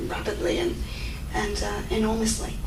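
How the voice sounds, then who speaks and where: A woman's voice: medium pitch, completely flat delivery, moderate pace, creaky. A young woman in her twenties with an Australian accent, answering audience questions in a chatty way full of fillers and restarts. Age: 20s. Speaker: guest speaker. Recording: echoey room, mic far off, faint noise.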